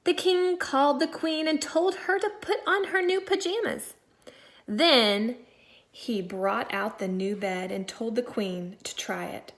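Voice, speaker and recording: Higher-voiced woman reading a storybook aloud. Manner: deliberate storyteller, stressing words, dropping to quiet asides. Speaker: second-grade teacher. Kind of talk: expressive storybook read-aloud for young children.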